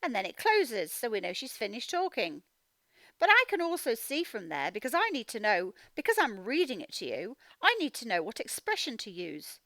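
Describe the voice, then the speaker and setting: A woman teaching a children's reading lesson, expressively reading aloud and sounding out words slowly. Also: fairly high pitch, huge pitch swings, fairly bright tone, no echo. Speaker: phonics teacher.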